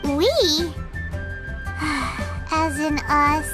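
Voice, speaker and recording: A woman voicing a tiny, childlike, excitable and easily exasperated fairy companion in an anime video game, squeaky and indignant, with music behind her. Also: extremely slow, heavily acted. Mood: annoyed. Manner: speaks of herself in third person, indignant, squeaky.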